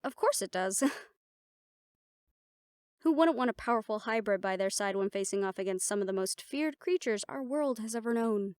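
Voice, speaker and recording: A woman's voice: medium pitch, expressive, moderate pace, darkish tone, clear, resonant tone, no room sound, clean studio sound, natural voice. A woman playing a confident, playful siren-banshee warrior in a conversational audio roleplay, talking intimately to the listener. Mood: grateful, sincere.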